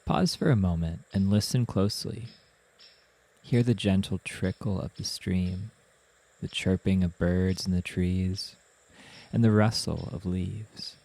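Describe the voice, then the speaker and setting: A man narrating a guided meditation as the guide, in a soothing, gentle manner with unhurried instructions. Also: deep voice, fairly even intonation, creaky.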